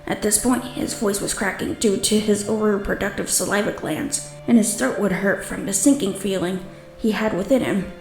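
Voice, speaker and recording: A woman's voice: medium pitch, normal speed, a little mellow. A woman reading scripted story narration for a manga dub. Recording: roomy echo, music behind.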